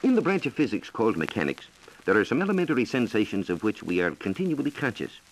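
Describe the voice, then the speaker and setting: An American man explaining science in a measured, lecturing way, as a reporter in a scripted 1940s educational radio dialogue. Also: on the low side, big pitch swings, slight background noise, rough recording. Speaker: science reporter and guest expert.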